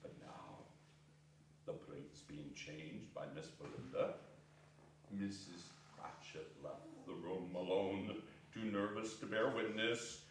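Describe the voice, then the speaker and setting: A man's voice: low voice, fairly even intonation, slow, a little hiss or hum. A man reading a story aloud on stage with theatrical, exclamatory narration and big swings in volume. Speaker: reader in a staged dramatic reading. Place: indoor performance hall. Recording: echoey hall from far off.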